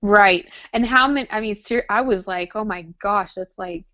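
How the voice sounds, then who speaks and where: A woman co-hosting a casual chat show about a TV drama, speaking in an animated, exclaiming, teasing way. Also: medium pitch, moderate pace. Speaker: podcast co-host. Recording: dull lo-fi audio.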